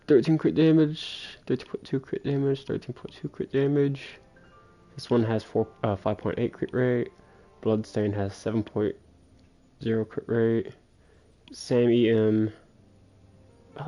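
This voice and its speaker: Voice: medium pitch, measured pace, long pauses, mellow, soft background music. A game streamer giving casual livestream commentary, muttering and thinking aloud while playing.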